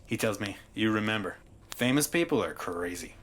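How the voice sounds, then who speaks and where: An actor playing an animated storyteller in an audio drama, relaying a cousin's tall tale and acting out the shouts. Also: medium pitch, normal speed. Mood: animated, conspiratorial. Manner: animated, acts out quoted shouts. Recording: noticeable background noise and ambience.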